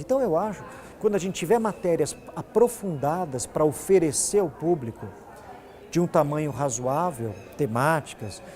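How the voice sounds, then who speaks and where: A man's voice: a little high, dark tone. A man with a Brazilian accent answering interview questions as a TV news director, explaining his points emphatically and repeating phrases for effect.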